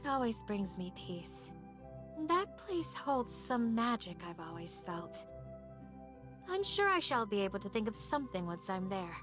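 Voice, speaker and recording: Lilting, genteel young princess. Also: female voice, medium pitch, sing-song, measured pace, over music. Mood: hopeful, fond. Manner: genteel, old-fashioned diction, talking to herself. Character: gentle exiled young princess living alone, dreamy and proper. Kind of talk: visual novel voice acting.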